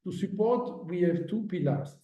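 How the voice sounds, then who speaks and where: A man giving formal conference remarks as an agency director, halting with many fillers and restarts, speaking as an over remote call audio. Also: sits high, expressive, mellow. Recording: dull roomy audio from a distance.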